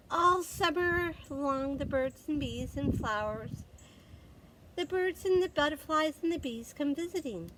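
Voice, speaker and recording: A woman reads a children's story aloud in a lively, swooping voice. She takes her time, stretching phrases and pausing for dramatic effect. A little background noise sits behind her. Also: high voice, rough recording. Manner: expressive, dramatic pauses, gasps and exclamations for effect. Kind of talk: animated read-aloud for young children.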